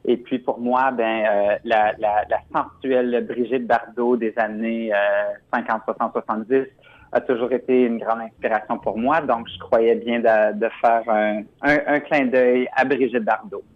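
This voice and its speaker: A man speaks over a phone line that sounds muffled and slightly dull. He talks in a relaxed, chatty way, pausing on fillers and restarting phrases. His pitch sits in a middle range. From Quebec. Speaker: drag performer guest. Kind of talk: phone interview.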